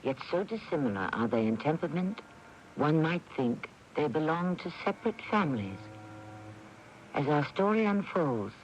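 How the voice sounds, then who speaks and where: Animated male radio drama host. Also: fairly high pitch. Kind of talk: scripted dramatic introduction. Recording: muffled 1980s AM radio broadcast, light noise.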